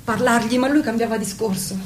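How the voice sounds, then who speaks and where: High-voiced noble young wife played by an actress, earnest n pleading, theatrical italian radio play, bit of noise. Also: female voice, steady tone, brisk, natural voice. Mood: uneasy, trusting. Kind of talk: Italian stage drama performance on radio.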